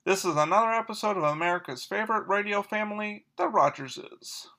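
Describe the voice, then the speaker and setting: Man doing a high-pitched old-time radio announcer. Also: fairly quick, very noisy. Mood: showy, announcerly. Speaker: radio host.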